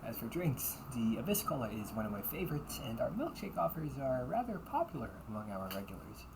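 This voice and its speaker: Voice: medium pitch, big pitch swings, brisk, creaky. Flustered delinquent butler-cafe waiter over background noise, acted out. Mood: courteous, restrained. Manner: stiffly formal service politeness, slipping into muttered threats. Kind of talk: amateur audio roleplay.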